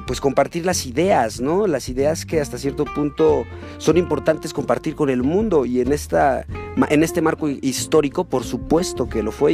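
Mexican man, podcast host, gravelly voice, music underneath. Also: on the high side. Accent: Mexican Spanish. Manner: laid-back, slangy, chuckles often. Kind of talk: casual podcast chat and solo commentary.

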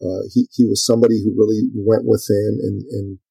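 A man's voice: fairly low pitch, flat delivery, a little fast, vocal fry, warm tone, husky, no room sound, rough recording. A middle-aged man from Iran, talking casually in an interview, full of fillers and restarts. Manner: talkative, many fillers and restarts. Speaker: podcast guest and author.

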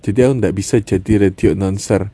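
A man's voice: fairly low pitch, fairly even intonation, fast, mellow, breathy edge, dry recording. A man with a thick Javanese-sounding accent co-hosting a casual couple podcast, cracking puns and laughing at his own jokes. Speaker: podcast co-host, husband.

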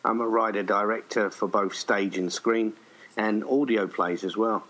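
A man's voice: lowish voice, fairly even intonation, a little slow, a bit bright, low-quality recording. A middle-aged man from Essex with an English accent, chatting casually and a little hesitantly as an interview guest. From Essex, England. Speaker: guest, writer-director.

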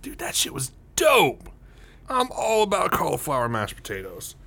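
A man's voice: on the high side, wildly swooping pitch, moderate pace, gravelly, sharp tone. A man co-hosting a casual comic podcast, blunt and profane, given to ranting. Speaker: podcast co-host. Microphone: podcast microphone with headphones.

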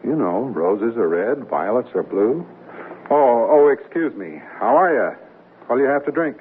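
A plain-spoken newlywed husband with wry, bemused good nature. His pitch moves freely, and the seventies radio drama recording is narrow and slightly hissy. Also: sing-song, a little slow. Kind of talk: 1970s radio drama acting. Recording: muffled, noisy old radio broadcast.

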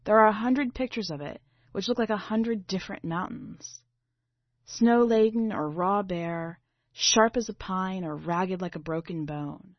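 A woman reading a literary short story aloud in a measured, dramatic way. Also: mid-range voice. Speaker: story reader. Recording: slightly dull, boxy roomy recording.

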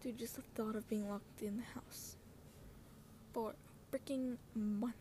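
High-voiced young woman rambling over outdoor noise. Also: fairly even intonation, measured pace, warm tone. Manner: scattered, slangy, self-interrupting. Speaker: podcast host. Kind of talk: casual rambling personal podcast chat. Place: outdoors with wind and traffic. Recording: windy outdoor noise, passing cars. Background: wind, cars, ambient noise.

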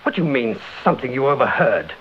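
A man's voice: medium pitch, big pitch swings, fast talker, lightly acted. An actor playing an irritable, wary, defensive British man with a brusque, grumbling manner in a radio drama. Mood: alarmed, indignant. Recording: dull, hissy old radio recording.

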